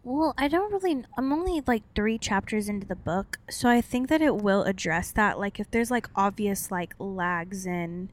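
A young woman talking in a loose, rambling podcast chat. Her pitch sits in an ordinary middle range. She leans heavily on "like" and laughs easily as she explains. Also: lively intonation, normal speed, hardly any pauses. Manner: chatty, long-winded, laughs often, many "like" fillers. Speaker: podcast co-host. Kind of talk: casual chat.

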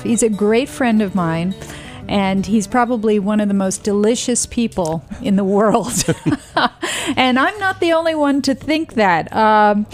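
Middle-aged woman, radio interview host, bubbly and gushing, faint music under her. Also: medium pitch. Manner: enthusiastic, laughs often, breathless asides. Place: radio studio in Brooklyn.

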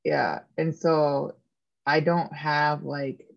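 Lowish woman's voice in her thirties, on slightly dull audio, candid and jokey. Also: flat delivery, drawn-out words, creaky. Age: 30s. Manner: candid, self-mocking, jokey. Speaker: podcast guest, artist. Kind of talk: casual interview chat.

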